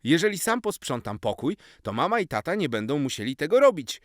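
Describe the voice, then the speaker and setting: A man voicing an eager seven-year-old boy in a children's story, full of exclamation and excitement. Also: fairly high pitch, a little fast, no echo, studio quality, voice pushed up. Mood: eager, proud.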